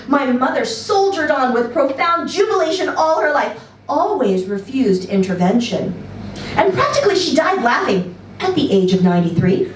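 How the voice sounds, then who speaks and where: A woman's voice: high voice, voice pushed up. A woman voicing a cheerful, sheepish male patient in a story reading, chirpy, apologetic and eager to please. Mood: blithely happy. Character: cheerful, sheepish, ridiculously happy man patient. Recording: roomy, distant mic, faint noise.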